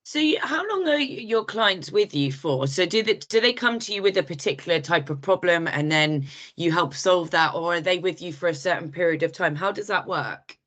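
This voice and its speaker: A woman's voice: fairly low pitch, animated, moderate pace, hardly any pauses, fairly bright tone. A woman hosting a podcast interview, asking long, rambling questions full of ums and restarts. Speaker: podcast host.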